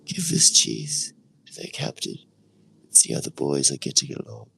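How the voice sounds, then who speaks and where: A man voicing a gentle older father sending his son off with food. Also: very deep voice, wildly swooping pitch, moderate pace, vocal fry, slight background noise, full character voice, poor audio. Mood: tender.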